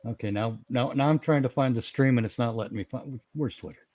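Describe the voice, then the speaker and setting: A man's voice: mid-range voice, brisk, darkish tone, no echo. A man bantering casually on a voice call as a co-host, wisecracking and irreverent. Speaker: stream co-host.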